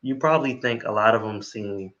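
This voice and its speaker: A man's voice: fairly low pitch, fairly quick, a bit bright, lo-fi sound. A man hosting an interview, talking with enthusiasm and tagging "right?" onto his sentences. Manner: enthusiastic, keeps adding "right?". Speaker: interview host. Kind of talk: casual interview chat.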